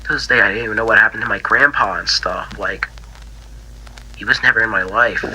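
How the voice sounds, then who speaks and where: A man voicing a bitter, grieving young pig character in a halting, stammering interview for an analog horror fan drama. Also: fairly low pitch, pushed and bright, slight background noise, low-quality recording. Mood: wistful, sad. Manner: halting, stammering, repeats himself, trailing off. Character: grieving, bitter young male cartoon pig, infection survivor.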